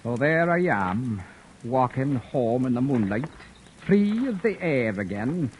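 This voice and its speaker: A man acting a proud, sharp-tongued young wanderer who narrates his own tale in a 1940s radio drama, with a wry, sardonic telling. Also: medium pitch, big pitch swings, slow, slight background noise, low-quality recording. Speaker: narrator and lead character. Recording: old radio broadcast with faint music.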